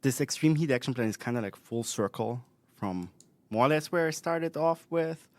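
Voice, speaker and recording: A man's voice: medium pitch, expressive, normal speed, no room sound. A man with an Austrian accent speaks as a guest expert in a conversational interview, thinking aloud with frequent fillers and self-corrections. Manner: thoughtful, with many fillers and restarts.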